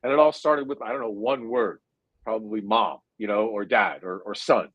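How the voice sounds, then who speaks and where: A man's voice: fairly low pitch, dry recording, lo-fi sound. A man in his forties with a New Jersey accent, thinking aloud as a guest scientist in an interview, with lots of "uh" fillers. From India. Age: 40s. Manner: thoughtful, hesitant, full of drawn-out "uh" fillers. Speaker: guest neuroscientist. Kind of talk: conversational interview answer.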